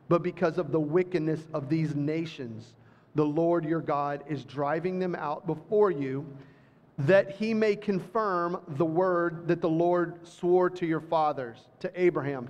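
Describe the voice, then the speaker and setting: Pastor preaching in a reverberant hall. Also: male voice, on the high side. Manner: reads scripture slowly, then talks loosely with restarts and fillers. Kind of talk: sermon with scripture reading. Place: church hall with audience. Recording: dull, reverberant hall recording.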